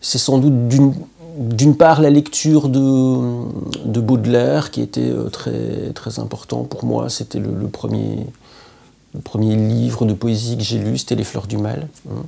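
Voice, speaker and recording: A man, a poet and literature teacher speaking to camera in an interview, reflective and halting with frequent hesitations. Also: mid-range voice, little pitch movement, vocal fry, dark tone, husky. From Belgium. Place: indoor room with plants, at home.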